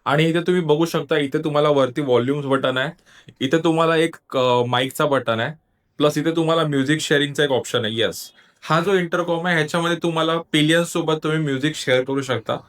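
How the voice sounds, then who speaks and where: Male gear vlogger, slightly high voice, casual tutorial delivery that walks viewers through each step. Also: fast, a bit bright. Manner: explanatory, step-by-step, addresses viewers directly. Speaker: motovlogger reviewing gear. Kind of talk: casual product review and tutorial.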